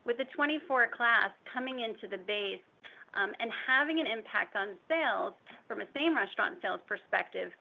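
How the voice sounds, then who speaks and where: A woman finance executive giving measured, jargon-heavy business answers on an earnings call. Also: fairly high pitch, animated, gravelly, muffled recording. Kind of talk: prepared-sounding business Q&A answers.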